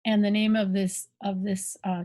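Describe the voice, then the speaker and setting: A woman sharing on a video call in an unhurried, thoughtful way with pauses. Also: medium pitch, little pitch movement, warm tone. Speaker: online summit participant. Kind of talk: reflective sharing in a group call.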